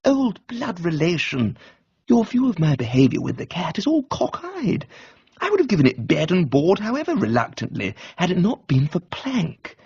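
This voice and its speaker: Dim, amiable upper-class English chap male reader performing: animated, flustered, raised husky voice, comic radio storytelling. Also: high voice, moderate pace, no echo, dull audio, pitched above the performer's own voice, heavily acted. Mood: protesting. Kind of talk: dramatised comic audiobook reading for radio.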